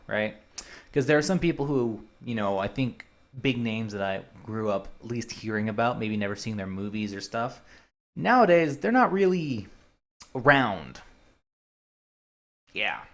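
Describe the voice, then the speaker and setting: A man's voice: fairly low pitch, animated, normal speed. Hesitant young man thinking aloud. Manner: hesitant, thinking aloud with many fillers and restarts. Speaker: solo podcaster. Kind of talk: rambling casual monologue.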